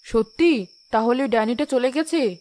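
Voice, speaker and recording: A woman's voice: a little high, unhurried, a little mellow, natural voice. A woman playing an anxious, loving village mother in a horror cartoon, worried and pleading.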